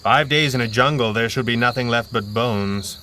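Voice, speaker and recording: A man performs a masked man of mystery from a 1930s radio adventure. He is calm and analytical, laying out deductions in formal, deliberate phrases at a middling pitch. A clear bed of insect-like noise runs behind him. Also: natural voice. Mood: analytical, measured.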